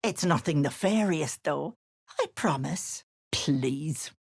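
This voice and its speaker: A woman's voice: deep voice, lively intonation, slow, gravelly, breathy edge, dry recording, studio quality, heavily acted. A woman voicing a sly old hag in a video game, sweet-talking with cloying endearments and veiled menace. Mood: sweetly coaxing. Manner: cloying endearments laced with threats. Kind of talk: video game character voice lines.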